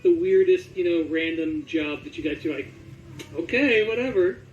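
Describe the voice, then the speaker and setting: High-voiced man interviewing from across an echoey room. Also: lively intonation, normal speed, a little hiss or hum. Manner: informal, jokey, chatty. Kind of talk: casual interview chat. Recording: echoey, distant, dull sound with music.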